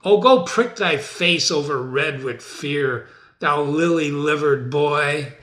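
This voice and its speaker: A man playing a defiant, world-weary tyrant king in a dramatic reading of Shakespearean verse, commanding and given to sudden shouts. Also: fairly high pitch, slow pace. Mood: contemptuous.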